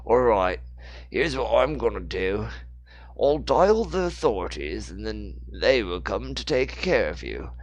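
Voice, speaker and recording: A narrator playing an elderly British ex-spy, brisk and formal. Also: sing-song, no room sound. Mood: brisk, dismissive. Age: older. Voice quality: deep. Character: gruff elderly British ex-spy, busy but kindly man.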